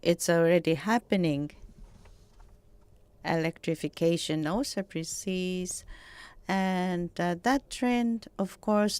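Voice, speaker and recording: A woman interpreting a panel answer, halting, with fillers and pauses. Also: mid-range voice, darkish tone, no room sound. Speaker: simultaneous interpreter.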